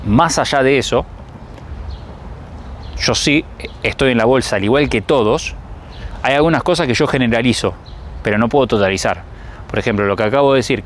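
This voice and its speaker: A man's voice: medium pitch, a little hiss or hum. A man in his thirties from Rosario, Argentina, with a Rosario accent, talking casually and reflectively to viewers as a travel vlogger. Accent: Argentine Spanish, Rosario. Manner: reflective, thinks aloud, addresses viewers directly. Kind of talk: casual talk to camera.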